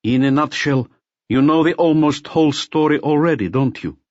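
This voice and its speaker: A man's voice: a little high, moderate pace, dry recording, lo-fi sound, pitched above the performer's own voice, natural voice. A man voicing a nervous, intense criminal who talks in an agitated, confessional way in an audiobook. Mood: weary, challenging. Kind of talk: audiobook character voice.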